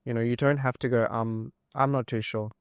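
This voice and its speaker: A man's voice: mid-range voice, fairly even intonation, fairly quick, smooth, dry recording, studio quality. A man from Tamil Nadu in India, a native Tamil speaker, talking as a podcast guest in a reassuring way, often saying "look" and "you know". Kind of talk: casual conversational podcast chat.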